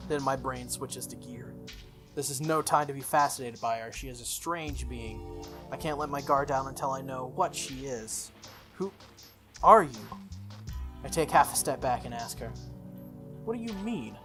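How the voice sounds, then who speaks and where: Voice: mid-range voice, normal speed, pauses often. A gaming YouTuber reading a visual novel aloud and breaking off for casual, jokey commentary. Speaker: gaming YouTuber, let's player. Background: game music.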